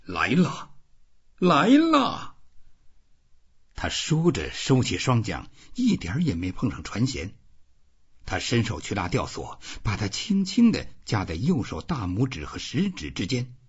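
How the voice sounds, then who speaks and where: A man narrates an audiobook in a low, very husky, breathy voice. His slow storytelling swings widely in pitch as he reads literary prose. The recording is slightly dull. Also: huge pitch swings. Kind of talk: scripted literary narration of a novel.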